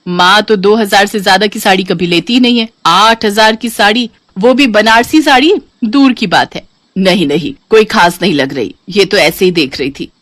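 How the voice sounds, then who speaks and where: A woman's voice: mid-range voice, moderate pace, a bit bright, no room sound, lightly acted. Woman doing a bubbly, cheeky young girl. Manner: chirpy, cheeky. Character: bubbly, playful young woman who loves saris.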